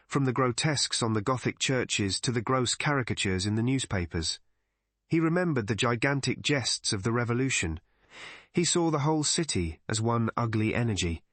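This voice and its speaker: Measured male audiobook narrator, dry close sound. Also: mid-range voice, fairly bright tone, no room sound. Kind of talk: scripted literary narration of a mystery story.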